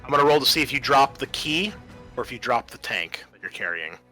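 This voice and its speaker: Male game master, bright forward voice, improvised rulings and scene narration over music. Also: medium pitch, very animated, a little fast.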